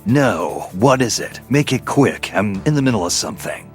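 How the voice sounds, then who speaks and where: A man playing a gruff, grumbling, impatient veteran soldier spy from a video game, in a curt scripted skit. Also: fairly low pitch, lively intonation, moderate pace, soft background music, close to an everyday voice. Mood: irritated, grumbling.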